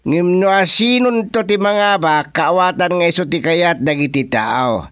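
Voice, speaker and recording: A man acts out an authoritative middle-aged village chairman in a radio comedy-drama. His voice is slightly high for a man and slow, with an Ilocano accent. He speaks deliberately, like someone running a meeting, in a very dry recording. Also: a bit bright, clear, resonant tone, no echo. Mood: firm, placating.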